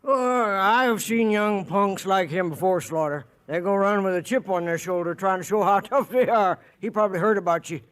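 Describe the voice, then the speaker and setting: An actor playing a folksy, shrewd, easygoing old cowhand in an old-time radio Western. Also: male voice, high voice, normal speed, few pauses, a bit bright, clear, resonant tone. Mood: knowing, amused. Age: older.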